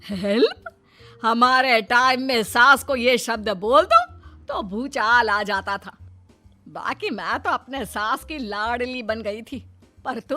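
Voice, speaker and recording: Woman acting a shrill, nagging older mother-in-law. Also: sharp tone, heavily acted. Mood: taunting, dramatic. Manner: scolding, taunting, dramatic exclamations.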